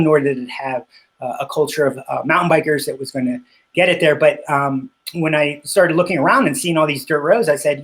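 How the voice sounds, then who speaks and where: A middle-aged man in a casual interview, talking about his work with frequent pauses, fillers and restarts; he grew up speaking Spanish. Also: fairly high pitch, a bit bright. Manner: hesitant, many fillers and restarts. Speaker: interview guest, cycling business owner.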